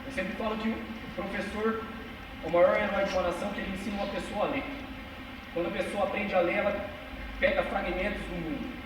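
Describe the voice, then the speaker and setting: A man's voice: sits high, noisy background. A man in his twenties from Brazil, with a São Paulo interior accent, giving a passionate, emphatic live talk laced with street slang. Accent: São Paulo interior Brazilian Portuguese. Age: 20s. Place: cultural center hall. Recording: echoey hall recorded from far off.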